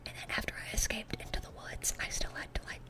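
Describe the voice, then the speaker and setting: A woman's voice: fast talker, lightly acted. A woman playing a dorky, sweet-natured young demon girl in an ASMR roleplay, whispering in a rambling, chatty, earnest way. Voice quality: breathy, unvoiced whisper.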